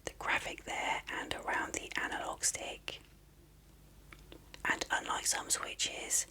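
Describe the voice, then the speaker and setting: A person speaking in a breathy, unvoiced whisper, softly and unhurriedly explaining things as an ASMR unboxing presenter. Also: rough recording. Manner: soft-spoken, unhurried, explanatory. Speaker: video presenter.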